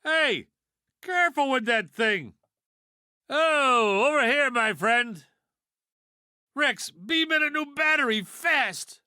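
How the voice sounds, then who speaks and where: A man's voice: extremely high pitch, expressive, slow pace, pauses often, bright, forceful tone, clear, resonant tone, no room sound, studio quality, full character voice. A man voicing a wisecracking toy piggy bank in a video game, a brash know-it-all with a sardonic, folksy delivery. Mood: urgent, alert. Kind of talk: video game character voice lines.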